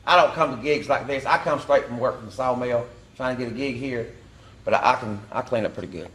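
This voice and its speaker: A man's voice: medium pitch, quick pace, a bit bright, echoey room. A man, a pianist and singer, talking in a folksy, joking way on a reality show. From Georgia, US.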